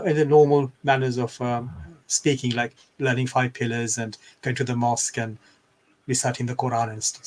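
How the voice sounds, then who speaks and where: Reflective, rambling older-sounding guest. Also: male voice, mid-range voice. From Bangladesh. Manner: thoughtful, rambling, digressive. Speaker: guest scholar. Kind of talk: reflective interview storytelling.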